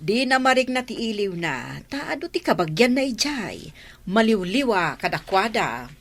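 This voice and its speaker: A woman's voice: medium pitch, sing-song, moderate pace. A woman with an Ilocano accent playing a gossipy, exclaiming village neighbour in a radio comedy. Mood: reassuring. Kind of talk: radio comedy drama acting.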